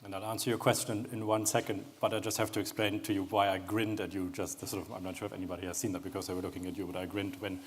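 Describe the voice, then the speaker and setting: A man's voice: low voice, flat delivery, rapid-fire, few pauses, breathy edge. A man with a German accent, speaking as a panelist and telling a story with many restarts. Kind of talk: panel discussion.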